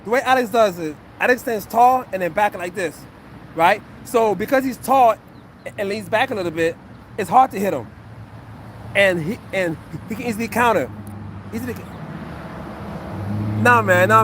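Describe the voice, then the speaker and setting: Earnest high-voiced man in his fifties. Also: moderate pace, long pauses, background noise. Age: 50s. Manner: repetitive, earnest, talks to chat. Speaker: retired fighter and acupuncture physician. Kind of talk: casual livestream fight breakdown.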